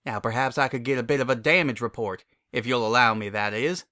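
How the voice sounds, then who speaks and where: A man's voice: mid-range voice, steady tone, brisk, sharp tone, lightly acted. A man voicing a plain-spoken, practical country farm mare, caring and firm, with a folksy, blunt manner. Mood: teasing.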